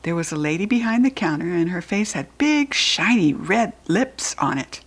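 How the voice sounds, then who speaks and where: Expressive woman reading a children's book. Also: on the low side, moderate pace. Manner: expressive, clear storytelling. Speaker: storybook reader. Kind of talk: children's book read-aloud.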